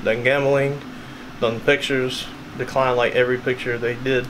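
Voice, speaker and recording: A man's voice: medium pitch, little pitch movement, normal speed, noisy background. A young man vlogging casually in a small cabin, talking at arm's length to his camera with a rambling, self-deprecating manner. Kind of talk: casual vlog chat. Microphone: camera held at arm's length.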